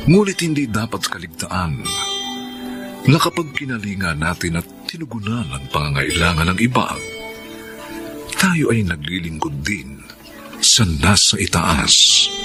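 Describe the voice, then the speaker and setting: A man with a Filipino accent giving solemn scripted narration for a radio drama. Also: low-pitched. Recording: clear music behind.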